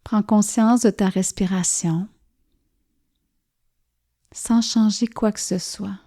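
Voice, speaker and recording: A woman leads a guided relaxation as a yoga nidra teacher. Her voice is mellow and warm, at an ordinary pitch for a woman. She speaks very slowly and softly, leaving gentle pauses between soothing phrases. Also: fairly even intonation. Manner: soft, soothing, gently pausing. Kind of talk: guided relaxation meditation narration.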